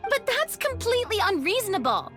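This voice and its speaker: A woman voicing an earnest, inquisitive young female adventurer in a video game, expressive in delivery. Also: very high voice, fairly quick, over music. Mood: indignant. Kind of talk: video game character acting.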